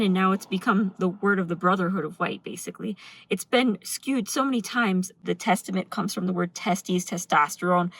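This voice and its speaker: A woman's voice: mid-range voice, a bit bright, low-quality recording. A woman talking earnestly and emphatically in a casual vlog monologue, speaking off the cuff. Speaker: video host.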